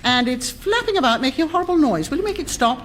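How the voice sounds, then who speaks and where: An actress playing a sharp-tongued, bossy older English mother who runs a tiny airline in a radio sitcom, imperious and sarcastic. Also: sits high, lively intonation, fast, very noisy. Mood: withering.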